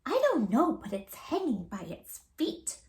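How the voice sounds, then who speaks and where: A woman voicing startled, curious little storybook baby birds, with chirpy exclamations or hushed hissing. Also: on the high side, sing-song, measured pace, roomy sound.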